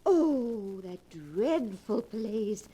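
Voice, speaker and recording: An actress playing a timid, fretful elderly spinster sister in a 1940s radio melodrama, with a plaintive, tremulous manner. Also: female voice, fairly high pitch, sing-song, extremely slow, mellow, breathy edge, heavily acted. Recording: noisy vintage 1940s radio recording.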